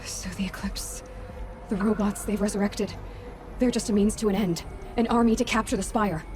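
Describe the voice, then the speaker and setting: An actress playing a determined, earnest young heroine in a video game, speaking with urgency. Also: female voice, mid-range voice, fairly even intonation, moderate pace, a little mellow, lightly acted. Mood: wary, questioning. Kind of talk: dramatic video game dialogue.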